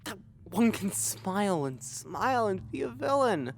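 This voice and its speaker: A man playing an anguished, vengeful young prince in a Shakespearean audio drama, with an intense, halting, theatrical delivery. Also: sits high, very animated, creaky, a little hiss or hum, heavily acted. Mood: bitter, resolved. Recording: music under the voice. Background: music.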